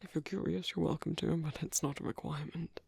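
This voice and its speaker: Guy doing a soft british vampire priest for asmr, super breathy n creaky, gentle, shy, protective. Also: on the high side, fairly even intonation, a little fast, no room sound, heavily acted. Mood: tender, earnest. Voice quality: soft, breathy, husky, often near-whispered. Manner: hesitant, courteous, formal phrasing. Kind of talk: ASMR roleplay, intimate soft-spoken monologue.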